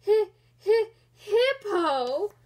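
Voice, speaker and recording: A big storybook rhinoceros, acted out by a woman, rude and teasing. The delivery is exaggerated and high in pitch, with slow, drawn-out words. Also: big pitch swings, exaggerated voice. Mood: helpless giggling. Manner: exaggerated, loud, breaking into giggles. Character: large rude rhinoceros, gruff teaser, helpless giggler.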